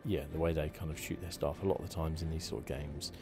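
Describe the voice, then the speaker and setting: A man giving relaxed ASMR game commentary, musing and rambling with a hesitant delivery. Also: a bass voice, fast, over music. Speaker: gaming channel host.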